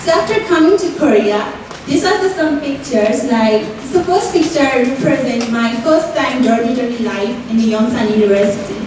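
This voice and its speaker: A woman's voice: fairly high pitch, moderate pace, noisy background. Earnest young Nepali woman speaking on stage. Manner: earnest, fills with "like" and "you know". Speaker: student speaker. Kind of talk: prepared stage speech. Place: university event hall. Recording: roomy hall audio from far off.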